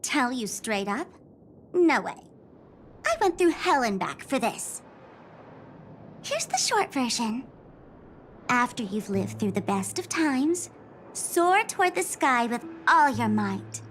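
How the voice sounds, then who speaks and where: Playful, smug young trickster, very lively and high. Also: female voice, slow, long pauses, a little hiss or hum, heavily acted. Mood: sly, dramatic. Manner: teasing, dramatic, sing-song delivery. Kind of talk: anime-style game voice acting. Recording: music behind the voice. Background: game soundtrack music.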